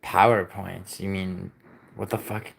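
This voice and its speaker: Low-voiced, rambling, foul-mouthed streamer man. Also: little pitch movement, measured pace, vocal fry, husky. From born in Italy, raised in New York. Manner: rambling and profane, with many "like" and "I mean" fillers and restarts. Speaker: livestreamer chatting with viewers. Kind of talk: casual live-stream chat, reading out and answering chat messages.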